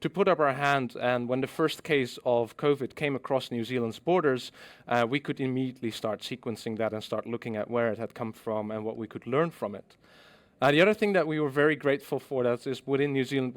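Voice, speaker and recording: A middle-aged man from the Netherlands giving a scientific conference presentation, measured and explanatory with frequent ums. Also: medium pitch.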